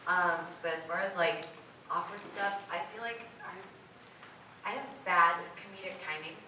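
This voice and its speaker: A hoarse, raspy woman with a low voice chats through fan questions as a convention panel guest, talking herself down with plenty of fillers. She is heard from well across a very echoey room. Steady background noise fills the hall. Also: normal speed, distant mic. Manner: chatty and self-deprecating, many fillers. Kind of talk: casual panel Q&A answers. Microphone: filmed by a camera from across the room.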